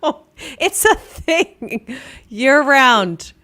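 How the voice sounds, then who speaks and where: Female co-host, talks slowly, chatty and agreeable. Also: high voice, very animated, bright, forceful tone. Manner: chatty, quick to laugh and agree. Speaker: live stream co-host. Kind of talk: casual live stream chat.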